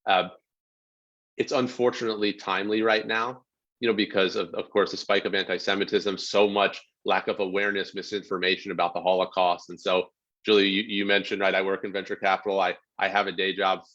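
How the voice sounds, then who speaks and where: A man's voice: sits low, flat delivery, a little fast, fairly bright tone. A man in his thirties, an author, talking earnestly about his book and reading a passage aloud over a video call. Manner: earnest, frequent "you know" fillers. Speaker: author guest. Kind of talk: casual talk and reading aloud. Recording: dull lo-fi video call audio.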